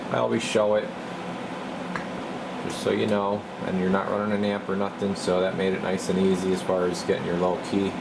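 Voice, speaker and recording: A man with a fairly low voice talks casually through a hands-on bench test. He sounds like a technician, informal and half muttering to himself as he goes. The recording is very noisy, with a heavy hum behind him. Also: creaky. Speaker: radio repair technician presenting his bench test. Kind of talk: casual off-the-cuff demonstration commentary. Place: indoor radio repair bench.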